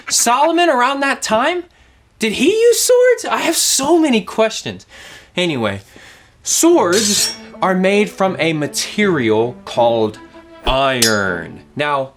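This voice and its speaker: A young man in his twenties from Louisiana with a Southern accent, teaching a playful kids' object lesson with lots of questions. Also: high-pitched. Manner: animated, playful, asks questions. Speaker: kids ministry teacher.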